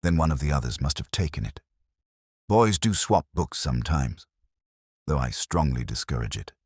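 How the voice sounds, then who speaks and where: A man puts on a dignified grey-haired church lady in a detective story. The voice stays deep and a little husky, with prim, formal phrasing. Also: very animated, normal speed, a little mellow, no room sound, studio quality, heavily acted.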